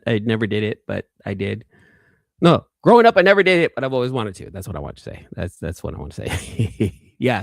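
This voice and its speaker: A man's voice: mid-range voice, no echo, clean studio sound. A man hosting a live collecting show, chatting casually and enthusiastically in informal slang, often repeating phrases for emphasis. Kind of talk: casual live-stream chat showing off comics.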